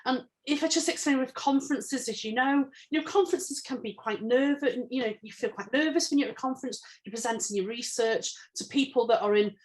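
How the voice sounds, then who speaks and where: A woman's voice: high-pitched, a little fast, hardly any pauses, poor audio. A woman from England, an author talking candidly about her research in a conversational interview, with rising emphasis when indignant.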